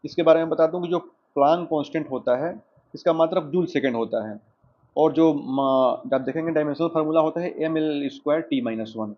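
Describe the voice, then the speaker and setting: A man's voice: a little high, fairly quick. A man with an Indian accent teaching an exam lesson, explaining questions and answers directly to students. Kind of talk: lesson, working through quiz questions and explanations. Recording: dull lo-fi microphone sound.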